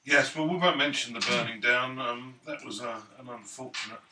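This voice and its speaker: A man's voice: medium pitch, normal speed, sharp tone, recorded from a distance. A man playing a tabletop game, chatting casually in character with a dry, hesitant manner. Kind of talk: casual roleplay chat. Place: indoor room at gaming table. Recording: echoey room, off-mic, faint noise.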